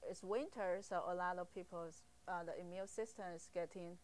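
Lower-pitched Taiwanese-accented woman, hesitant. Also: fairly even intonation, normal speed, a little mellow. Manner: hesitant, simply phrased non-native English. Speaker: acupuncturist being interviewed. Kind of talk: informal explanatory interview.

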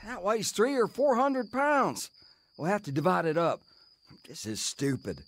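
Brash young hoodlum, slangy, very up and down, radio drama, bit of background noise. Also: male voice, sits high, lively intonation, a little slow. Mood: grumbling. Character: cocky, grumbling young male criminal. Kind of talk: old-time radio drama acting.

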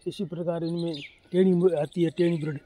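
A man's voice: a little high, fairly even intonation, a little fast, dark tone. A man from Rajasthan, a poultry farmer talking casually and repetitively to viewers, recorded outdoors on his farm. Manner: plain, repetitive, talks straight to viewer. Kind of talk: casual explainer vlog. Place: outdoors on open farm with chickens. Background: chickens clucking, faint noise.

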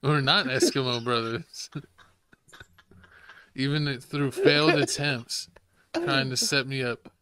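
A young man co-hosting a podcast, teasing his partner with quick comebacks in casual banter. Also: medium pitch, sing-song, a loud crowd. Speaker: podcast co-host. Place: small home studio, indoors.

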